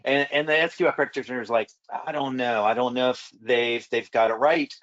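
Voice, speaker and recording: A man working as a food safety consultant, a little raspy from a recent illness, answering panel questions and telling stories from his work. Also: medium pitch, fairly even intonation, brisk, fairly bright tone, highs cut off. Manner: storytelling, repeats words when restarting.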